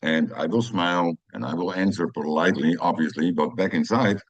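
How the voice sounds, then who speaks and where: A middle-aged Dutch man with a deep, low voice talks through his thoughts in English with a Dutch accent. He pauses often and fills the gaps with "uh" and "um", and he restarts his sentences. The sound is dry and close, with no room echo. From Netherlands. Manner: hesitant, many fillers and restarts. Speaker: podcast host. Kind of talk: reflective personal monologue.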